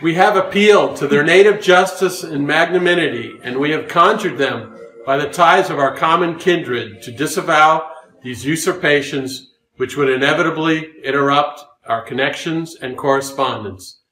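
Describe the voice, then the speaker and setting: A man's voice: a little high, normal speed, bright, forceful tone. A man giving a formal reading of a historical document. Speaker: volunteer reader. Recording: echoey room.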